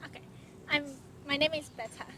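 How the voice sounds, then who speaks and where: A woman's voice: sits high, fairly even intonation, a little fast, a crowd in the background. A young woman, an intern doctor introducing herself hesitantly with fillers. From Taiwan. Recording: noisy outdoor recording.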